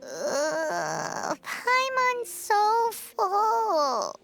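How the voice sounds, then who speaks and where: A woman voicing a tiny, cheeky fairy companion with a squeaky childlike voice in an anime-style video game. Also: wildly swooping pitch, drawn-out words, vocal fry, over loud music, full character voice. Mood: stuffed, groaning. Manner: blunt, exclaiming, refers to self by name.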